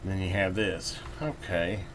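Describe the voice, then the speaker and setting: A man's voice: low-pitched, steady tone, unhurried. A man giving casual hands-on repair commentary as a video host, thinking aloud while he works. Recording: clearly noisy hobbyist video recording.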